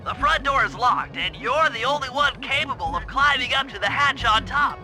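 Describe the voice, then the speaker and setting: A man's voice: very high-pitched, lively intonation, moderate pace, sharp tone, exaggerated voice. A man voicing a brainy, earnest cartoon animal gadget expert in a video game, explaining things in precise technical terms. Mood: earnest, instructive. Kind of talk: video game cutscene voice acting. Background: background music.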